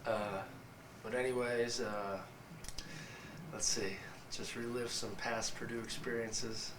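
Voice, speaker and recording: A man's voice: mid-range voice, little pitch movement, slow pace. Young man, scratchy, cocky joker, echoey distant room recording. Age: young adult. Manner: wisecracking, boastful. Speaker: college football player. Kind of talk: joking interview to camera. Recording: echoey room, off-mic, ambient noise.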